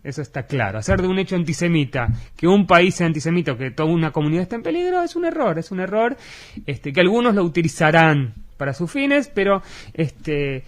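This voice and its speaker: Argentine man, educator, radio interview, earnest, slightly high voice, filler-heavy. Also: big pitch swings. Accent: Argentine Spanish. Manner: earnest, measured, many fillers.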